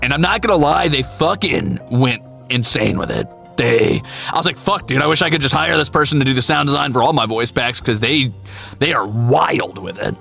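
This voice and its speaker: A man streams casually over soft background music. His voice is bright and forceful with plenty of gravelly fry. He talks candidly and playfully, swearing and mocking himself as he answers viewers. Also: medium pitch, animated, moderate pace. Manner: candid, playful, profane, self-deprecating. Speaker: VTuber streamer and voice actor.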